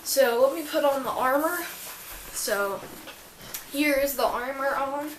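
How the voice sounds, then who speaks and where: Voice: high-pitched, a little slow. An enthusiastic vlogger chatting casually while showing off homemade cosplay gear. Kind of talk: casual show-and-tell chat. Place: indoor room, echoey. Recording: echoey room with faint background noise.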